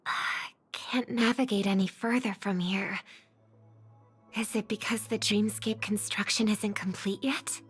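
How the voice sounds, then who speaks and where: An actress playing a gentle, thoughtful young woman in a video game, speaking politely and pensively, with music behind her. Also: medium pitch, steady tone, unhurried, husky. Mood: thoughtful, determined. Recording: background music under voice.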